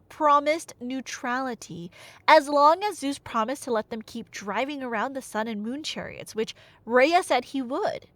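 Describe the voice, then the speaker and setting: A woman's voice: high-pitched, fairly bright tone, dry recording. A woman telling a story in a casual, rambling ASMR style, playful and slangy with wry asides. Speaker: storyteller playing the listener's nerdy girlfriend.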